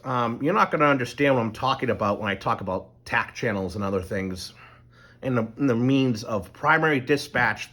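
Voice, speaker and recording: A man's voice: mid-range voice, a bit bright. A middle-aged man talking casually to camera, rambling through an explanation with many ums and restarts. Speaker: channel host.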